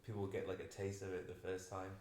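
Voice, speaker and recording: A man's voice: low voice, completely flat delivery, quick pace, a little mellow. A young man hosting a podcast, chatting in a laid-back, rambling way and filling his talk with "like.". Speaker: podcast host. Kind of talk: casual podcast chat. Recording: roomy room with faint noise.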